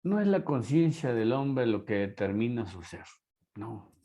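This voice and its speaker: Mellow-voiced Mexican male teacher. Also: mid-range voice, big pitch swings, unhurried. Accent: Mexican Spanish. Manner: rhetorical questions, emphatic. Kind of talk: lecture.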